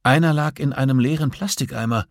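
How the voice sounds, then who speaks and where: A man's voice: medium pitch, a little fast, vocal fry, no room sound, studio quality, pitched above the performer's own voice, close to an everyday voice. A man voicing an anxious female police investigator in an audiobook, flustered under pressure, hesitant and agitated as they grope for details. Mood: searching, tense. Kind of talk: audiobook dialogue acting.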